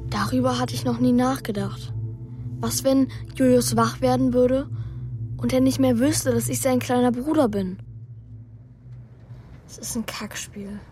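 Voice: mid-range voice, a little slow, pauses often, warm tone, slight background noise, lightly acted. A performer voicing a young boy in a children's radio play, thoughtful and hesitant, worried about his brother, both acting and narrating. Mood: brooding.

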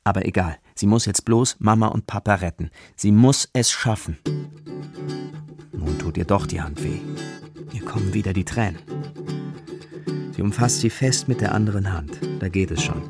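A man narrating a children's story from a script in short, dramatic phrases, with a creaky voice. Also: lowish voice, moderate pace, pauses often, over loud music.

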